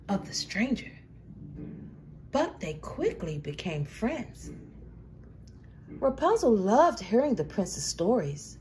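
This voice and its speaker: A woman storyteller reading a children's storybook aloud with dramatic, deliberate phrasing. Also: on the high side, darkish tone, slight background noise.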